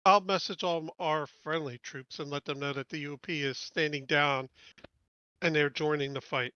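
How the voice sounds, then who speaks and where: Bright, higher-pitched male tabletop player. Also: fairly even intonation, normal speed, smooth, no room sound, muffled recording. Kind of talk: casual game table talk.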